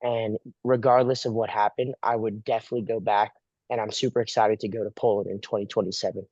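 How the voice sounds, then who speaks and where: A man's voice: fairly low pitch, level tone, quick pace, darkish tone, no echo, highs cut off. A young man sharing his jamboree memories enthusiastically, using many filler phrases. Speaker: former jamboree participant. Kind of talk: personal testimonial.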